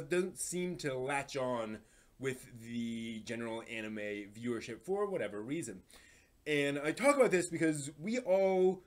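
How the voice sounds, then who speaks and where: A man hosting a live stream in a casual, chatty way, often halting and repeating words as he thinks aloud. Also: on the high side.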